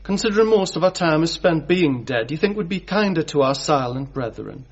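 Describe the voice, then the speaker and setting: Creepy high-voiced morbid pathologist. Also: male voice, animated, fairly quick, slightly rough, lo-fi sound. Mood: earnest, eerie. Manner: pedantic, earnest, oddly formal way of talking. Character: creepy, morbid male pathologist who befriends corpses. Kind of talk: radio comedy drama acting.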